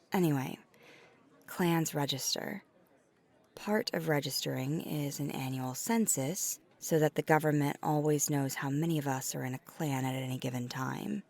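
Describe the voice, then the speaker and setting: Woman as a soft, breathy, low-voiced tender vampire. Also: full character voice. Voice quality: breathy, near-whispered in places. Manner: soft-spoken, often breathy, hesitant and halting. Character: flirty, caring female vampire on a dinner date. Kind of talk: intimate ASMR roleplay audio drama.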